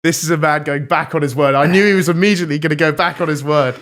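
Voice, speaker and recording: A young man hosting a comedy podcast, deadpan with frequent giggles. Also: on the high side, quick pace. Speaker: host. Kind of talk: casual comedy podcast chat.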